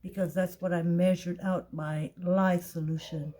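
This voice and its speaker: A woman with a tired, raspy voice, talking casually through a soap-making tutorial and thinking aloud as she weighs ingredients. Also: fairly low pitch, little pitch movement, dark tone. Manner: slow, chatty, thinking aloud while weighing. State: tired voice, clearing throat. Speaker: soap maker.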